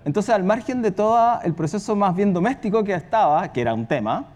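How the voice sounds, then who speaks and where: A man with a Chilean accent and a fairly high voice speaks off the cuff, as on a discussion panel. He rambles colloquially, telling things as anecdotes with many side remarks. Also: animated, a little fast, a little mellow. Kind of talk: spontaneous panel talk.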